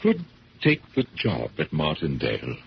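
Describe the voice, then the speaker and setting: A courteous, troubled elderly gentleman in a 1970s radio drama. His voice is deep and swings widely in pitch, with hesitant, formal phrasing. The old recording sounds dull. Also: wildly swooping pitch, unhurried, gravelly, a little hiss or hum, full character voice. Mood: remorseful, gentle. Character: elderly, troubled, well-mannered gentleman collector.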